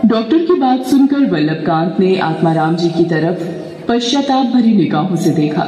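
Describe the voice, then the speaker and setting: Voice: medium pitch, expressive, normal speed, dark tone, recorded from a distance. A narrator telling a dramatic scripted puppet show story, heard with long hall reverb.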